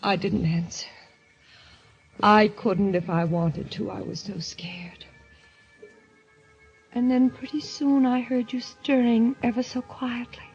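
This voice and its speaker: A woman's voice: medium pitch, level tone, takes it slowly, pauses often, a little mellow. A woman playing a terrified wife in an old radio mystery, anguished and pleading as she speaks. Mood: tense dread. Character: terrified wife recounting a nightmare, pleading for her life. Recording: dull vintage radio recording with music.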